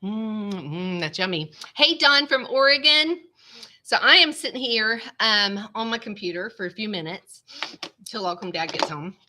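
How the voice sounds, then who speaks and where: A woman's voice: mid-range voice, lively intonation, moderate pace, pushed and bright. A woman with a stuffy, congested nose, chatting casually to viewers on a live stream from her computer at home. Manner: chatty, rambling, says "y'all" often. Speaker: vlogger hosting a live stream. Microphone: small webcam.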